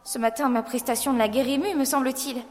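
Woman acting high-voiced, coquettish young opera apprentice. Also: steady tone, brisk, darkish tone, close to an everyday voice. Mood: coy, confiding. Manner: coquettish, commanding, formal period speech. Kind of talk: French audio drama acting. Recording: long reverb, music behind.

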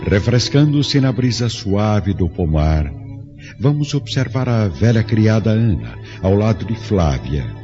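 A man delivering scripted, dramatic narration for a radio drama. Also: sits low. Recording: dull lo-fi recording, music behind.

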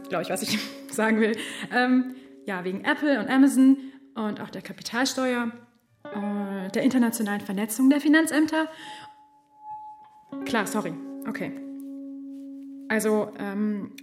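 A slightly high female voice with faint music behind it. An actress plays a chatty, cheeky office assistant in a radio drama. She talks colloquially, loyal to her colleagues and often groping for the right word. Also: normal speed, long pauses, lightly acted. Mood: chatty, confiding. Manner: colloquial, rambling, groping for words.

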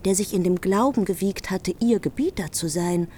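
A woman's voice: medium pitch, normal speed, a little hiss or hum, natural voice. A woman narrating a heroic epic as a composed storyteller in a literary radio drama. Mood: composed, storytelling. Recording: loud music behind the voice.

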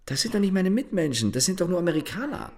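An actor playing a disaffected, sardonic young man who narrates his own radio drama in a deadpan, grumbling way. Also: high-pitched, fast. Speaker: narrator and main character. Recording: noisy background ambience.

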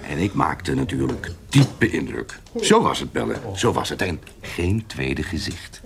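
Teasing rogue with a swooping voice. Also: male voice, deep voice, very animated, unhurried, gravelly, a crowd in the background, full character voice. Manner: bantering, incredulous, swooping emphasis. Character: teasing, sceptical male rogue, ex-soldier's companion. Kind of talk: Dutch historical radio drama acting.

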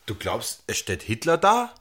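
A man's voice: mid-range voice, wildly swooping pitch, measured pace, fairly bright tone, slightly rough, exaggerated voice. An actor in a radio play, playing the meek son of a tyrannical old man, answering briefly and hesitantly in a subdued way. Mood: doubtful.

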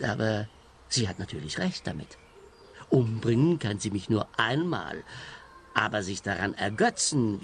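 Gravelly, resigned Swiss husband. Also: male voice, medium pitch, slight background noise. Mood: dryly musing. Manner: matter-of-fact, world-weary. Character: resigned, henpecked middle-aged husband, client of a detective. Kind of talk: radio crime comedy acting.

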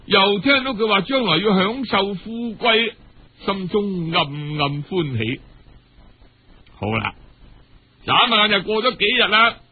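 A man telling a traditional story in a colloquial, dramatic, unhurried way. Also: sits high, slightly rough. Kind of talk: traditional Cantonese storytelling narration. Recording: muffled, phone-like old radio recording.

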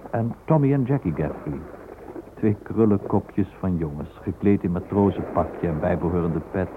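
An actor playing a florid, sentimental storyteller, narrating a radio play in a theatrical, flowery way. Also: male voice, low voice. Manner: theatrical, flowery, deliberate phrasing. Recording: noisy, tinny old radio recording.

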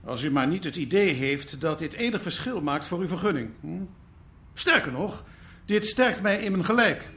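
An actor playing a prim, self-righteous city official in a radio drama, formal and defensive. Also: male voice, fairly high pitch, expressive, moderate pace, a bit bright. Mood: officious, dismissive. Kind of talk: naturalistic radio drama acting.

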